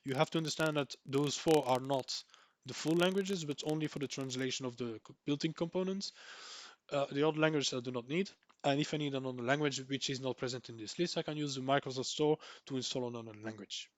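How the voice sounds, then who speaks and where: Young Belgian male, Dutch first language, rambling tutorial voice-over, mid pitch, dry mic. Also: fast talker, no echo. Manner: rambling, repeats words for emphasis, frequent ums and restarts. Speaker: tech tutorial creator. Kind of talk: screen-recorded software tutorial.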